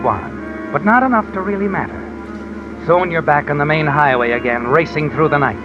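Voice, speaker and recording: An actor playing a mysterious narrator who needles the listener in the second person, in a 1950s radio drama. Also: male voice, a little high, close to an everyday voice. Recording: lo-fi old radio broadcast. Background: dramatic music score.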